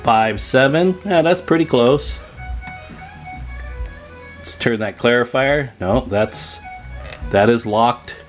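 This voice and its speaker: A man's voice: mid-range voice, slow pace, pauses often, soft background music. An American man giving a casual hands-on demonstration as a repair technician, plainspoken and folksy.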